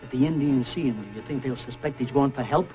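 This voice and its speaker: A man acting a gruff, practical frontier trader in an old-time radio adventure, with plain, terse delivery. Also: mid-range voice, brisk, dull audio, lightly acted. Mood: concerned. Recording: old band-limited radio recording, music.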